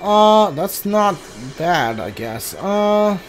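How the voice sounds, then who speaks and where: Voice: medium pitch, sing-song, drawn-out words. A video game streamer giving casual playthrough commentary, thinking aloud and muttering reactions while playing. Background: game music.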